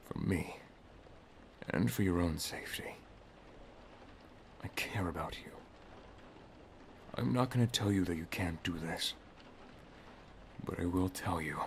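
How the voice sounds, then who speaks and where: A man playing a solemn, protective wizard master and lover in an ASMR roleplay, speaking softly and gravely, often half-whispering. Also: sits low, a little hiss or hum. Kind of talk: ASMR roleplay, dramatic monologue.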